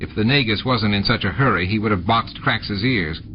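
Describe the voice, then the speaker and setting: Man, lowish voice, scripted audiobook narration, sound effects and noisy ambience behind. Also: normal speed, highs cut off. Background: added sound effects and ambience.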